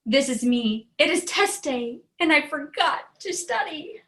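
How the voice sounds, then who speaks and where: A woman who is a children's author, reading aloud and explaining in a deliberate, earnest way with simple phrasing. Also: sits high, expressive, a bit bright. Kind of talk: storybook read-aloud and explanation.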